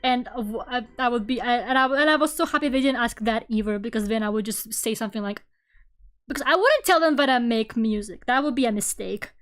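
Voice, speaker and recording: A woman's voice: on the high side, a little fast, faint music behind. A woman streamer chatting casually while she draws, talking in a rambling, self-deprecating way. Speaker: VTuber streamer. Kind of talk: casual chat while drawing.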